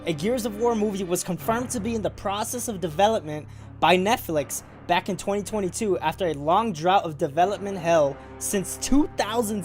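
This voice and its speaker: A man narrating a scripted countdown of film news, with casual asides. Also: sits high. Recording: loud music under the voice. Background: music.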